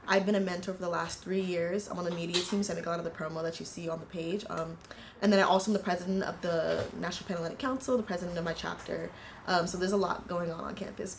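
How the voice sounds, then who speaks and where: Young woman with a low, gravelly voice. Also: a little hiss or hum. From United States. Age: 20s. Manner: earnest, reflective, halting with frequent fillers. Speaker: interviewee, university student. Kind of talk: casual personal storytelling.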